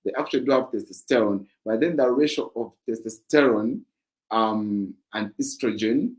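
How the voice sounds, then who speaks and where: A man with a deep voice hosting a fitness livestream, explaining points in plain casual chat. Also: normal speed, low-quality recording. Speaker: livestream host.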